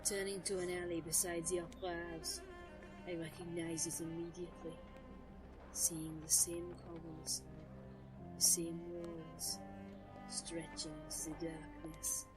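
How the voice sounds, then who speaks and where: Lady playing an ancient brooding sorceress telling her tale, lowish voice, very even and solemn, music behind her. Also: lightly acted, poor audio. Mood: solemn, reflective. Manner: measured, literary, solemn. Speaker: first-person narrator. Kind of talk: dramatic scripted narration in an audio drama.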